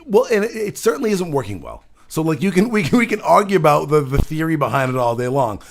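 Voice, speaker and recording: A man's voice: on the high side, sing-song, fast talker, vocal fry, slight background noise. Male host, loose rambling delivery, frequent self-corrections. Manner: rambling, many restarts and fillers. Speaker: show host. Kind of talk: casual political chat.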